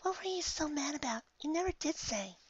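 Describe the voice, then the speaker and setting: Guy putting on a shy little cartoon pony girl, high breathy near-whisper, gentle n hesitant, kinda muffled recording. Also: animated, a little fast, no echo. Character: timid, tender young female pegasus pony.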